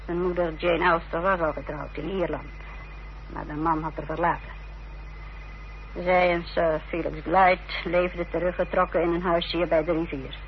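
A woman's voice: on the low side, level tone, moderate pace, natural voice. An actress playing an unrepentant village wife in a Dutch radio play, telling her story in a self-justifying way. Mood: matter-of-fact, confiding. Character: unrepentant, defiant village wife confessing her part in a fraud. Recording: dull old radio recording with hiss and hum.

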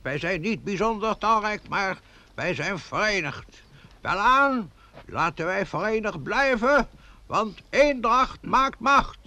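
A pompous male village mayor in a radio play. His voice is high and bright, and he declaims commands like a small-town general. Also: lively intonation, takes it slowly, exaggerated voice. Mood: pompous, commanding. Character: pompous village mayor rallying men for a lion hunt. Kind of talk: theatrical radio play acting.

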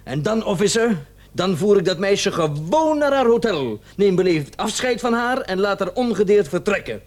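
A smug doctor under suspicion in a radio play, his voice on the higher side. He is sardonic and theatrical. His delivery mocks and parries his questioner. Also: sing-song, moderate pace. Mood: mocking, playful. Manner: sardonic, theatrical, sometimes stammering in feigned indignation. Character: smug, mocking male doctor suspected of murder.

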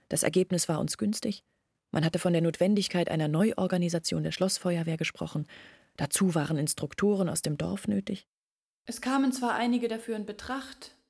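Radio drama: bitter young villager, earnest confider, husky with some fry, measured delivery. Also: female voice, fairly low pitch, darkish tone, no room sound, clean studio sound. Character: embittered young village woman confiding her family's disgrace. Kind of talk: intimate literary radio drama storytelling.

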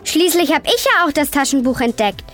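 Woman playing a high-voiced, sassy young girl. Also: lively intonation, fairly quick, fairly bright tone, faint music behind. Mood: bossy. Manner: sassy, eager storytelling. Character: bossy, chatty young girl recommending books. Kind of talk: scripted children's radio banter.

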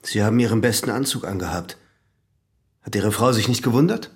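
Actor as a formal, probing examining magistrate. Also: male voice, on the low side, brisk, close to an everyday voice. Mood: measured. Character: persistent, methodical male examining magistrate. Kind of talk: German radio drama acting.

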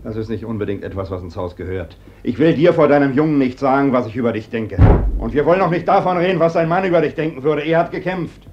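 An actor playing a determined, blunt fighter in a radio drama, arguing insistently. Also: male voice, medium pitch, fast talker, close to an everyday voice. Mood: insistent, grim. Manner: insistent, argumentative. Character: determined, blunt male fighter pressing his relative. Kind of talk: classic German radio drama acting. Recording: dull, faintly noisy old radio recording.

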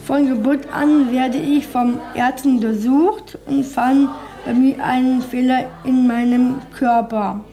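A woman reading out her own autobiographical writing in deliberate phrases. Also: sits high, steady tone, dark tone. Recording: music behind the voice. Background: music.

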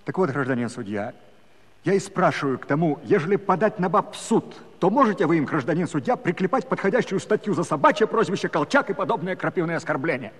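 An actor playing an exasperated, blustering Cossack villager in a musical comedy, pleading and indignant in folksy speech. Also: male voice, fairly high pitch, expressive, quick pace, breathy edge. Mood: aggrieved. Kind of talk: theatrical musical comedy acting. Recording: long hall reverb, loud music.

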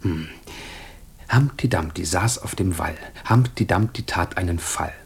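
Husky, musing intellectual man. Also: low-pitched, a little slow, heavily acted. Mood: playfully teasing. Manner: musing, playful, pensive. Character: musing, teasing intellectual man, philosophical and world-weary. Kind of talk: intimate German radio drama dialogue.

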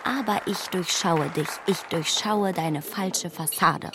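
A woman's voice: on the low side, takes it slowly, a bit bright, some chatter behind, slight background noise. An actress speaking a young lady's jealous, suspicious inner thoughts in a brooding, resentful way.

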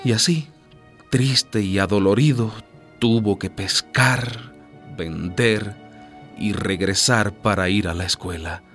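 A man's voice: fairly low pitch, slow, fairly bright tone, faint music behind. A man narrating a radio drama as a scripted story, with a grave, dramatic delivery.

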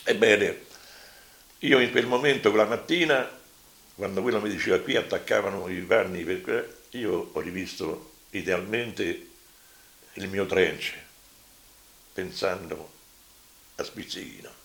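A man voicing an elderly former deportee with a gravelly voice and Roman accent, giving halting spoken testimony. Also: low-pitched, fairly bright tone. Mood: somber recollection. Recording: roomy, echoing voice.

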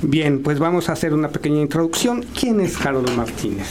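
A man's voice: on the high side, big pitch swings, a little fast, noisy background. A man interviewing a guest on a radio programme, introducing and asking questions.

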